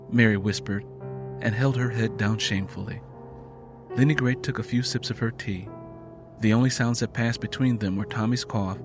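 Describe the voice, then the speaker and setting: A man narrating a dramatic story from a script as an audiobook reader. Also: on the low side.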